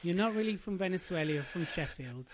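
A man's voice: high-pitched, expressive, fast, slight background noise. A man co-hosting a podcast, teasing his partner and laughing often. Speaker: co-host. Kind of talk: casual banter.

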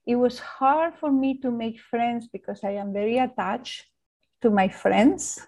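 A woman's voice: on the high side, fairly even intonation, a little slow, a little mellow. A woman from Spain with a Spanish accent, a guest on an interview, searching for words and laughing often as she talks. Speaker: architect, guest. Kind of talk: interview conversation.

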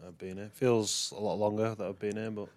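A man's voice: lowish voice, flat delivery, a little fast, a little mellow, no echo. A man appearing as a guest on a sports podcast, friendly and easygoing. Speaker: podcast guest, new player. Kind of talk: casual interview chat.

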